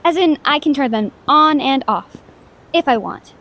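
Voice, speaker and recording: A woman's voice: high voice, animated, normal speed. A woman voicing a shy, awkward young female ghost in an intimate audio drama, stammering, hesitant and apologetic, anxious but kind. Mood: tentative. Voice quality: soft stammer. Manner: hesitant, apologetic, self-doubting, rambling.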